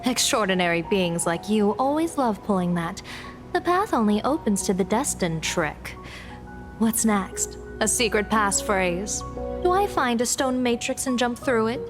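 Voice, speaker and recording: A woman playing a composed female general and diviner in a video game, polite and measured with dry sarcasm. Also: medium pitch, sing-song, over music. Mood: sarcastic.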